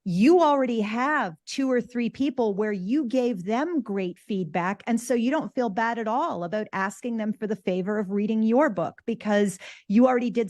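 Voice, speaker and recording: A woman hosts a casual panel discussion, steering the talk and offering advice. Her voice sits somewhat high and the sound is very dry, free of room echo. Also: darkish tone. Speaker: host.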